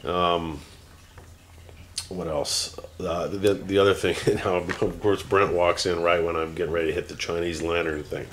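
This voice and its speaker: A man's voice: sits low, little pitch movement, normal speed, fairly bright tone, slight background noise. A man in his forties talking unscripted to a camera at home, rambling with many fillers and restarts and turning heated. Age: 40s. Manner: grows heated and ranting. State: teary-eyed. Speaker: vlogger.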